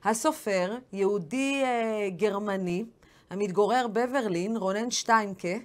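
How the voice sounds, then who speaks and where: A woman with an Israeli accent interviews as a show host. She speaks at a fast pace at a middling pitch, though she often pauses mid-sentence to find the next phrase. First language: Hebrew. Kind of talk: news interview.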